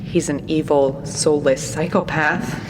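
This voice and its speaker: A woman's voice: low-pitched, fairly even intonation, slow, big-room sound, background noise. An actress playing a frightened woman who records her descent into danger, in a found-footage horror audio drama. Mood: afraid, bitter.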